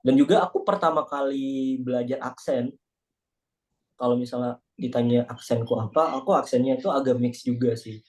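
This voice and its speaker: A man's voice: medium pitch, steady tone, normal speed, darkish tone. A young man with an Indonesian accent chatting in a casual online class discussion, joking and self-deprecating. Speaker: class participant. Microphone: video call.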